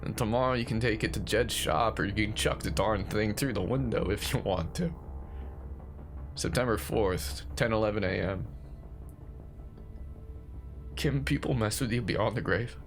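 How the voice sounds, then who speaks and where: Casting: male actor as grieving, nervy man confessing into voice notes for a horror drama; low, creaky voice, stammers and second-guesses himself. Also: normal speed, pauses often, faint music behind, close to an everyday voice. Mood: shaken, self-doubting. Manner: jittery, stammering, self-questioning. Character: anxious grieving man, haunted older brother recording voice notes. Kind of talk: found-footage horror audio monologue, confessional voice notes.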